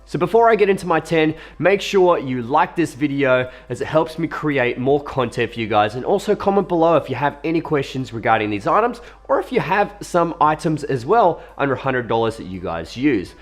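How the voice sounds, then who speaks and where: Hoarse young Australian man, lively tone. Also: a little high, a little fast, hardly any pauses, soft background music. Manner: chatty, upbeat, with fillers and asides. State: hoarse from a cold. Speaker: video creator reviewing camera gear. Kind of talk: casual talk to camera.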